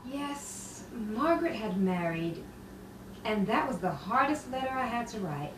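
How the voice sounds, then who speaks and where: A caring confidante in a vintage-style radio play. She speaks slowly and theatrically, with a fairly high, lively voice in a roomy, noisy recording. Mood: rueful, reflective. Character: wry, caring female confidante, regretful letter writer. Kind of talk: nostalgic radio drama acting and narration. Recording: roomy, distant, noisy live stage recording.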